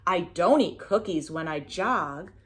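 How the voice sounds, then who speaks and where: A woman giving an expressive, sing-song picture-book read-aloud for young children, pausing to prompt her listeners. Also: lowish voice.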